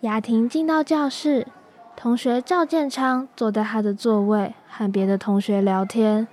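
Teenage girl narrator, rather high voice. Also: steady tone, a little slow, a little mellow. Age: high school student. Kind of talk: scripted story narration.

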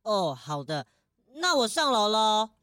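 Lively young leopard boy. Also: medium pitch, a little slow, slight background noise. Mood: cheerful. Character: cute, obedient young leopard son. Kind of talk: Mandarin children's detective audio drama.

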